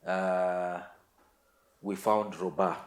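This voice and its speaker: A Kenyan-accented man telling a story casually and haltingly, repeating himself and slipping in Swahili words. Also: low voice, little pitch movement. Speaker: musician, interview guest. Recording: roomy, echoing sound.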